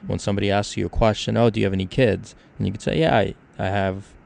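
Gravelly low-voiced man hosting. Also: steady tone, fast talker, darkish tone. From Ukraine. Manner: conversational, explains with examples. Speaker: podcast host. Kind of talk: casual discussion.

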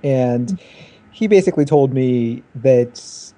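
A male guest with a very dark, mellow voice joins a casual discussion. He speaks haltingly and fills his pauses with plenty of hesitations. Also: medium pitch, lively intonation. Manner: halting, many uh fillers.

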